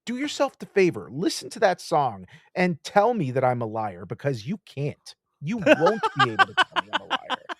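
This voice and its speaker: Podcast co-host, male, animated and profane, dry close-miked sound. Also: fairly high pitch, moderate pace, hardly any pauses, dry recording. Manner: animated, swears often, gushing enthusiasm. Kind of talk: casual chat.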